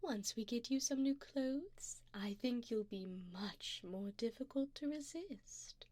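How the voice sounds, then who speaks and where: Woman acting soft, unhurried, doting vampire mistress. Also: a little high, no echo. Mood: admiring, seductive. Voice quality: soft, breathy, often slipping into whisper. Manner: refined, formal, coaxing, gently commanding. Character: wealthy, doting, possessive female vampire sugar mommy. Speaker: ASMR roleplay voice actor. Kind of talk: ASMR roleplay, soft and intimate. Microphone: binaural 3Dio microphone, close up.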